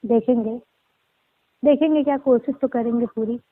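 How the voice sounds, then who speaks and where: A young woman with a fairly high voice speaks over a narrow phone line, with a dry, close sound. She keeps her replies short and guarded in a casual conversational style. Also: fairly even intonation, moderate pace, dry recording. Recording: tinny, muffled phone line.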